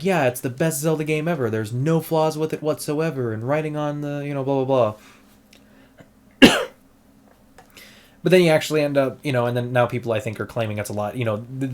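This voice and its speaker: A man streaming an emulator test, chatting casually and rambling with many restarts, saying he is losing his voice. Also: medium pitch. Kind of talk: casual gameplay stream chat.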